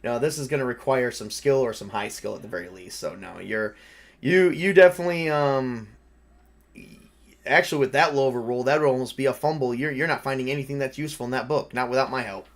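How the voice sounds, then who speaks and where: A man's voice: mid-range voice, a little fast. A man acting as game master, narrating and making rulings in a casual, off-the-cuff, conversational way.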